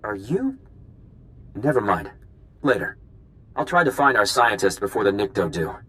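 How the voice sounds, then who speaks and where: A man playing a brisk, earnest spy operative in a video game, with clipped, informal phrasing. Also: fairly low pitch, big pitch swings, bright, forceful tone. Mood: brisk, wary.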